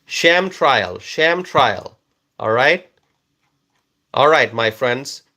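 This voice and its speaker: A man from Bangladesh whose first language is Bengali, hosting a live stream and reading out viewer comments in a slow, repetitive, coaxing way. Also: mid-range voice, expressive, pushed and bright.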